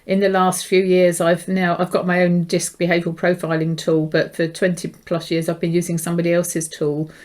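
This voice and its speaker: A woman's voice: fairly low pitch, completely flat delivery, fairly quick, hardly any pauses, a little mellow. A middle-aged woman, hosting a conversational interview as a behaviour profiling specialist.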